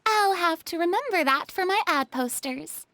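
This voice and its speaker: A woman's voice: extremely high pitch, expressive, moderate pace, bright, forceful tone, no echo, exaggerated voice. A woman voicing a theatrical, breezy young female stage star in a game dub, with a dramatic, chatty delivery. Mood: breezy, self-assured. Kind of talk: English game dub voice acting.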